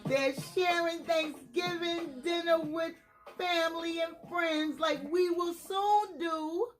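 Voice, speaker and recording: Very high-voiced, slow woman reading to children. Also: faint music behind. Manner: expressive, talks directly to young listeners. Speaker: storytime host and picture book reader. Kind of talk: children's read-aloud storytime.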